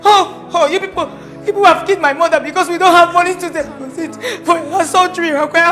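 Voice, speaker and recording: Woman doing a frantic girl yelling and sobbing, very high voice. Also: fairly even intonation, fast talker, soft background music. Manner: shouting, pleading, crying. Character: frantic young woman, desperate and loud. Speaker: daughter searching for her mother in a hospital.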